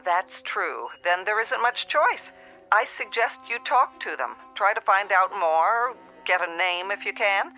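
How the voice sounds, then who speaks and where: Bright-voiced telephone operator, filtered. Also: female voice, medium pitch, animated, measured pace, faint music behind. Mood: placating, businesslike. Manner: businesslike, placating. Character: patient but unhelpful woman telephone operator. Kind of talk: radio drama acting. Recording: thin, band-limited telephone-line sound.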